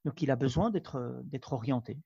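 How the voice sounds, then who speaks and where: Gravelly, husky Belgian-born man, hesitant. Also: mid-range voice, animated, moderate pace, dark tone, no room sound, dull audio. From Belgium, Moroccan family. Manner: hesitant, many fillers and restarts. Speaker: imam, guest. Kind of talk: conversational interview.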